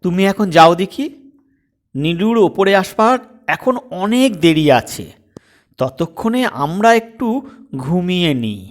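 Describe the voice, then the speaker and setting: Guy doing feisty stubborn wife and mom, high pitched, bossy, funny audio story. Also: very animated, takes it slowly, long pauses, exaggerated voice. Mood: teasing. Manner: bossy, retorting, insistent. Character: feisty, stubborn motherly wife, protective of her son. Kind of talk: comic audio story acting.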